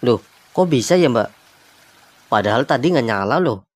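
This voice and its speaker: A man's voice: medium pitch, expressive, moderate pace, no room sound, close to an everyday voice. A man with a Jakarta accent playing a spooked young hotel guest in a cartoon horror comedy. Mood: polite, casual. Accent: Jakarta Indonesian. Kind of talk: animated horror-comedy voice acting.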